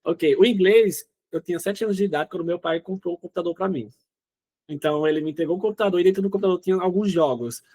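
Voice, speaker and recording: A man's voice: sits high, quick pace, darkish tone. A man in his twenties from Northeast Brazil, chatting casually as an interview guest. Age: 20s. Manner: chatty, often says "né?" and "entendeu?".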